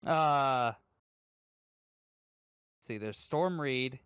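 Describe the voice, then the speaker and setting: A man co-hosting a podcast in casual chat, hesitant and thinking out loud as he goes. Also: mid-range voice, very slow, clean tone, no echo, studio quality. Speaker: podcast co-host.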